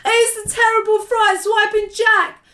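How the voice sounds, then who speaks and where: A great brutish giant acted out by a woman wails in terror. The voice is thrown far up high, loud and melodramatic, bellowing and pleading like a frightened storybook monster. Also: expressive, measured pace. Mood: panicked screaming. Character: huge brutish man-eating male giant, terrified, pleading in nightmare.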